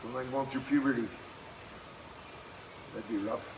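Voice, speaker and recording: A man leading a live-streamed workout as fitness coach, giving brisk, encouraging exercise cues in a slightly hoarse voice that keeps cracking. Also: medium pitch, steady tone. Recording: echoey room with faint background noise.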